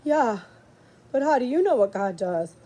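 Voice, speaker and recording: A woman's voice: on the high side, expressive, moderate pace, warm tone. A woman voicing a grieving, troubled country boy of about ten in a storybook reading. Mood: troubled, searching.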